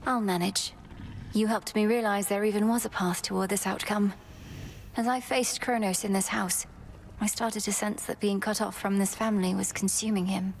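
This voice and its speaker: A woman's voice: medium pitch, fairly even intonation, moderate pace, a little hiss or hum, natural voice. A woman playing an earnest, composed young princess of the underworld in a video game, speaking somewhat formally. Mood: gentle, wistful.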